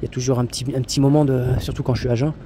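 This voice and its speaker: Rambling man with a dark, mellow voice. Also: medium pitch, flat delivery, racing, a little hiss or hum. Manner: rambling, many fillers and restarts; blunt, slangy. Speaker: travel vlogger. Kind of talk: casual spoken monologue.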